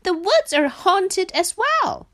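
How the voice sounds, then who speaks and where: A woman's voice: extremely high pitch, very animated, takes it slowly, fairly bright tone, pitched above the performer's own voice, full character voice. A woman voicing a small, frightened male frog in a children's storybook, pleading and alarmed.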